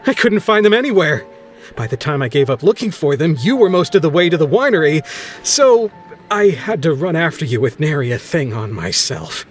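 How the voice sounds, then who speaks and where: A man's voice: a little high, very animated, normal speed, soft background music. An actor playing an embarrassed, flustered male ship captain who stammers in a video game.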